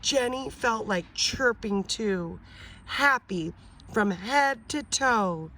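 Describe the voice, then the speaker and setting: A woman teacher reading a children's picture book aloud, expressive and pausing to ask the listener questions. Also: a little high. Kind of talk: children's read-aloud story.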